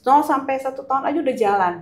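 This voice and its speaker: A woman's voice: sits high, racing. Older lady talking family advice, earnest, repeats herself, kinda echoey room. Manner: earnest, advice-giving, repeats key points. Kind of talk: conversational podcast chat mixing languages.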